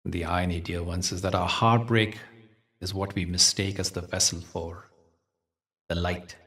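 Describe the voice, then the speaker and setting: A man leading a guided meditation as a teacher, telling spiritual stories in a gentle, measured, soothing way with deliberate pauses. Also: low-pitched, fairly even intonation, recorded from a distance.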